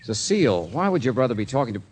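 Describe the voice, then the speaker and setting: An actor playing a wry, tough American private investigator in a 1940s radio detective drama, with slangy, clipped delivery. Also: male voice, mid-range voice, sing-song, quick pace, a little mellow, husky. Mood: brisk, probing. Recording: noisy old radio recording.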